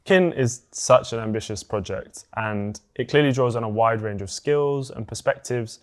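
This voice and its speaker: A man's voice: medium pitch, moderate pace. A man hosting a conversational interview, asking thoughtful questions in casual phrasing with some fillers. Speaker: podcast host, interviewer.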